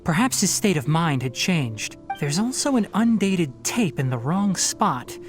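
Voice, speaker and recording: A man's voice: on the high side, very animated, a little slow, soft background music. An actor playing a thoughtful young man who investigates and explains what he finds in a game. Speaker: game character.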